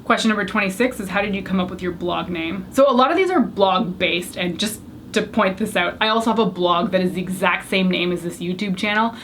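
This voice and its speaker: A woman's voice: medium pitch, a little fast, fairly bright tone, slight background noise. A young woman whose first language was French, chatting candidly to the camera as she answers a tag of questions. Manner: chatty, candid, self-deprecating. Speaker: beauty YouTuber. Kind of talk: casual Q&A tag video.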